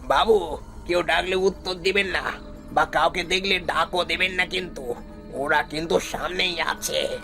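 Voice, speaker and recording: A man's voice: fairly high pitch, steady tone, measured pace, creaky, sharp tone, faint music behind, heavily acted. A man voicing an eerie old ghostly cart driver. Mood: ominous. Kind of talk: cartoon voice acting.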